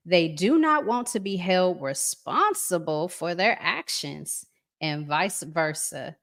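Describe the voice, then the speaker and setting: A woman's voice: on the low side, lively intonation, slow pace, a bit bright. A woman hosting a casual live chat, talking conversationally and reflectively and putting questions to her listeners. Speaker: host reading and answering viewer comments.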